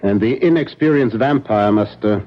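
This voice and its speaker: An actor playing a solemn, insistent professor who hunts vampires, speaking huskily with portentous pauses in 1970s radio drama style, on a muffled broadcast. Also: male voice, on the low side, natural voice. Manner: deliberate, portentous, emphatic repetitions and dramatic pauses.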